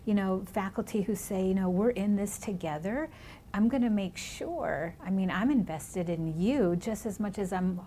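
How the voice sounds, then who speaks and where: A woman's voice: medium pitch, normal speed, warm tone, slight background noise. A woman whose first language is Spanish, hosting a reflective conversation about education, with frequent restarts. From California, raised in Minnesota. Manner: reflective, many restarts and "you know"s. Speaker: host.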